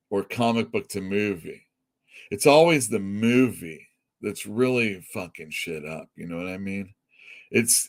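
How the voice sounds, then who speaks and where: A middle-aged man hosting a comic shop show, chatting casually and bluntly, rambling, swearing and laughing at his own jokes. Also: fairly low pitch. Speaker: comic shop podcast host. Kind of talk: casual opinionated chat.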